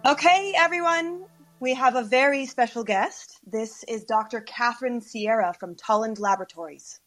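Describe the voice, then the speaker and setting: An actress playing an encouraging high school teacher, brisk and supportive, in an audio drama. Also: female voice, a bit bright, faint music behind, lightly acted, poor audio.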